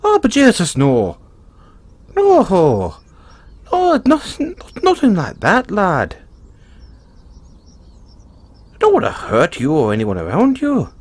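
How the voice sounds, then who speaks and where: A man's voice: sits high, huge pitch swings, measured pace, no room sound, exaggerated voice. A man playing a cheerful, kindly leprechaun with a teasing, folksy manner, in an audio roleplay spoken to the listener. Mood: flustered, protesting. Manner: chuckling, teasing, folksy talk. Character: cheerful, kindly male leprechaun, chatty and sly.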